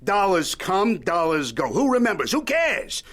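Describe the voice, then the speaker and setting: A shrewd old showman of a media mogul, pitched a little high for a male voice. The delivery rises and falls freely as he haggles, needling and folksy, with Yiddish-peppered wit in audio drama style. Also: very animated, a little slow, a bit bright, exaggerated voice. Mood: scornful. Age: older. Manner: wry, needling, Yiddish-peppered wheeling and dealing.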